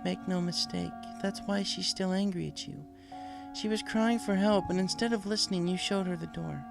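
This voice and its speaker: A man voicing a gracious, wise female pony princess from a storybook, polite, measured and gently frank. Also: high voice, vocal fry, over music, voice pushed up. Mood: gently stern. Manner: polite, measured, soothing and formal. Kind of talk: storybook character voice.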